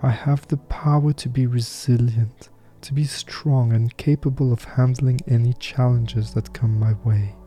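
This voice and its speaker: A man leading a guided meditation with affirmations, soft-spoken and soothing, leaving unhurried pauses. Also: mid-range voice, fairly even intonation, soft background music.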